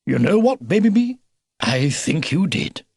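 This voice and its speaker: A kindly old grandfather beaver, acted out by a man for a storybook. He blusters like an old-fashioned military officer, in a gravelly, husky voice that swings up and down with excitement. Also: on the high side, a little slow, no echo, studio quality, voice pushed up, heavily acted. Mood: proud, hearty. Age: older.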